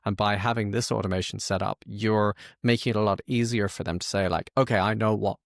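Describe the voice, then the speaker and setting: A man's voice: fairly low pitch, steady tone, fairly quick, gravelly, no room sound, studio quality. A man hosting an interview podcast, conversationally summing up what his guest said and asking questions. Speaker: podcast host.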